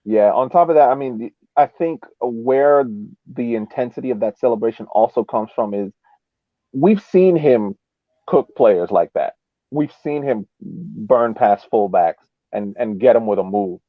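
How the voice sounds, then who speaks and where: A man talking soccer as a podcast panelist in a casual, emphatic, halting way, often repeating words as he builds his point. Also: medium pitch, expressive, dry recording. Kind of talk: casual sports discussion. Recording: phone-like, band-limited call audio.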